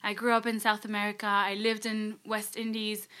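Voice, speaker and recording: A woman's voice: medium pitch, monotone, normal speed, pushed and bright, clear, resonant tone. A young woman from France, a life coach, chatting casually as an interview guest with many fillers and quoted thoughts.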